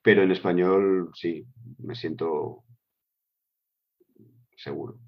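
A middle-aged man speaks in a fairly low voice, answering interview questions in a relaxed, halting way full of fillers and restarts. The recording sounds dull and lo-fi. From Switzerland. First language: Spanish with German. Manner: hesitant, many fillers and restarts.

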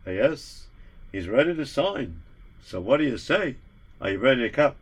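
A man doing a hushed, pleading devotee. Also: mid-range voice, very animated, moderate pace, slightly rough. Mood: plain. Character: humble devoted male follower, wheedling.

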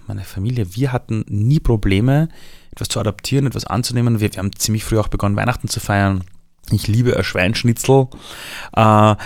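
A man from Iran speaks with a Viennese accent in a relaxed interview. His voice is gravelly and sits fairly low. He tells his story in a lively way, in a dry, close recording. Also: no echo. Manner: lively storytelling, shifts voice for quotes.

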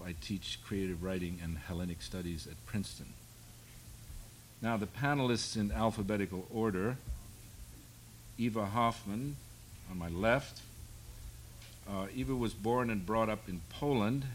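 A man's voice: deep voice, a little mellow, slight background noise, poor audio. Wry older man moderating a panel. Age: 60s. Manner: wry, self-deprecating, rambling. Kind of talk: live panel introduction. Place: public panel event before an audience.

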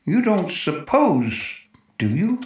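An actor playing a nervous, excitable house owner with a stammering, agitated manner in a 1940s radio drama. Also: male voice, sits high, takes it slowly, heavily acted. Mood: uneasy. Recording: roomy 1940s radio recording, music behind.

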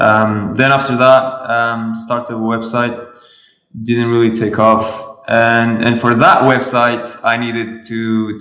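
A man answers interview questions, stopping and restarting his sentences often. His voice sits on the lower side. The room around him is noticeably echoey. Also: a bit bright. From Portugal. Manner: halting, with many restarts. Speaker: podcast guest. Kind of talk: interview conversation.

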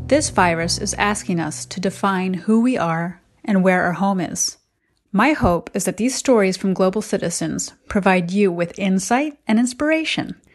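American woman narrating over music. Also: medium pitch. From United States. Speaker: podcast host. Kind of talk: scripted personal narration.

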